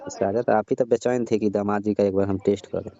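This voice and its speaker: A man plays a boastful young son-in-law who keeps pressing with blunt, needling questions. His voice is very low and dark. The sound comes through a dull, lo-fi phone call recording. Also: steady tone, brisk, close to an everyday voice. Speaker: comedy call actor. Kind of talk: staged comic phone call.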